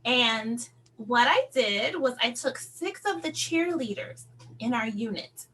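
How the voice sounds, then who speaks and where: A woman's voice: fairly high pitch, expressive, slow pace, bright, forceful tone, low-quality recording. A woman speaking as a sales director on a team call, giving a motivational talk in an encouraging, conversational and emphatic manner. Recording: noticeable background noise, hum.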